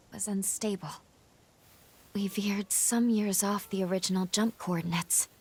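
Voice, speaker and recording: An actress playing a composed, caring young woman who guides the hero in a video game, speaking in a measured, formal way. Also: mid-range voice, a little mellow, reverberant, close to an everyday voice. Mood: serious, cautious. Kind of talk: video game cutscene acting.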